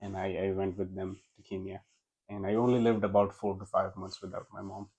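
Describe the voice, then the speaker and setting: A man's voice: low voice, flat delivery, a little fast, gravelly, a little mellow, breathy edge, low-quality recording. A young man from India with an Indian accent, a Gujarati speaker, telling his story as a guest in a casual interview, often restarting his sentences. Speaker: podcast guest.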